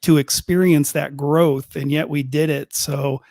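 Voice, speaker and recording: A man's voice: fairly high pitch, fairly even intonation, unhurried, a little mellow, no echo, studio quality. Middle-aged man, rambling sports reporter. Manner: rambling, many restarts and fillers. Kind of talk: casual chat.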